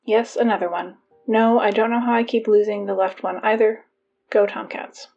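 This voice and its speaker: A woman's voice: medium pitch, steady tone, moderate pace, creaky, darkish tone. A woman playing an exasperated school announcer in a fiction podcast, reading notices with dry, wry asides. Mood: deadpan, businesslike. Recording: dull lo-fi PA sound, music behind.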